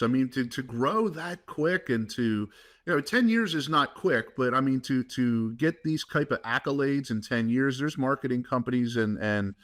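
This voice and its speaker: A man's voice: medium pitch, a bit bright. A man hosting a podcast interview in an informal, conversational way, reacting and asking follow-up questions. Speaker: podcast host.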